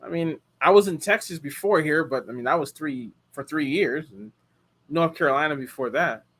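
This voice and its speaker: A man's voice: on the high side, moderate pace, fairly bright tone, slightly rough. A man in his thirties from the United States, chatting casually with viewers and answering their questions, rambling with many fillers and restarts. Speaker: car rental host answering viewer questions. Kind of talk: casual live chat.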